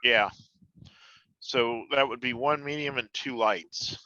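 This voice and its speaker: A man's voice: mid-range voice, slow, pushed and bright, no room sound. A man playing a tabletop game, chatting casually with the group. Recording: dull, dry lo-fi voice capture.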